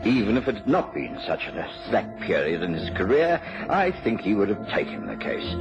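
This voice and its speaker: An actor playing a courteous British gentleman doctor who recounts his detective friend's cases in a radio drama. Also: lowish voice, very animated, moderate pace. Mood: reflective, narrating. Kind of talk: radio drama narration and dialogue. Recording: dull radio recording with music behind.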